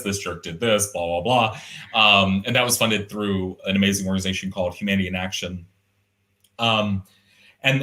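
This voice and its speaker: Bright-toned man with a deep resonant voice. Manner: thoughtful, rambling, frequent fillers and restarts. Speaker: artist guest. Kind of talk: casual conversational interview. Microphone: video call.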